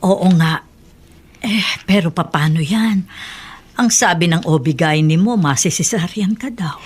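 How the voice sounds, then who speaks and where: Woman playing a lower-voiced motherly aunt. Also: slow pace, husky. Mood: doubtful. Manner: reassuring, motherly, practical. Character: caring aunt, hardworking laundress. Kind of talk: radio drama acting.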